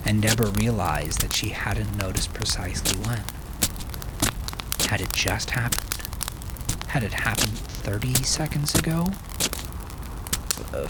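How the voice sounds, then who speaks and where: A man narrating dark fiction in an intense, theatrical way, often sinking to a hushed murmur. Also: on the low side, heavy background noise. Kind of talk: dramatic scripted fiction narration. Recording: loud music under the voice. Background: loud music.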